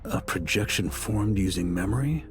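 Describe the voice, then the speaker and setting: A man's voice: on the low side, lively intonation, normal speed, slight background noise, natural voice. A man voicing an unfazed, laconic character in a video game, casual, dry and matter-of-fact. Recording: music underneath.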